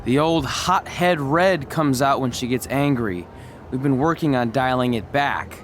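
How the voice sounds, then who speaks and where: A man's voice: medium pitch, unhurried, slight background noise, lightly acted. A man playing a casual, wisecracking, supportive young wrestler friend in a video game cutscene. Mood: calming, encouraging. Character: joking, supportive young male wrestling buddy.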